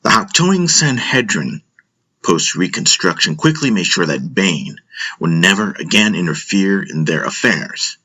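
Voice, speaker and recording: A man playing a seasoned, cynical intelligence agent who lectures recruits in a wry, measured, musing way in an audio drama. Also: low voice, very animated, pushed and bright, breathy edge, full character voice. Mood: wry, frank.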